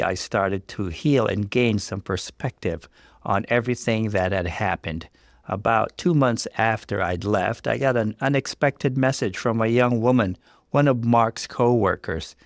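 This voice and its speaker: Creaky, low-voiced man narrating a story. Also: a little slow, no room sound, studio quality. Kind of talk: dramatic scripted first-person story reading.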